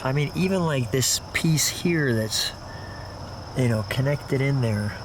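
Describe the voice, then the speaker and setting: A man's voice: mid-range voice, lively intonation, slow pace. A middle-aged or older man, chatting casually and enthusiastically as he hosts a car walkaround. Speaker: host of a car show. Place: outdoors around and inside a car. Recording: loud car and outdoor noise. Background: engine, insects, vehicle noise.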